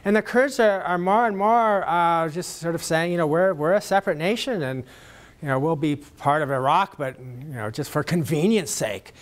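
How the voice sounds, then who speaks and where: Slightly high-voiced male lecturer, chatty. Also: moderate pace. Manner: conversational, with many fillers and restarts. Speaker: speaker answering audience questions.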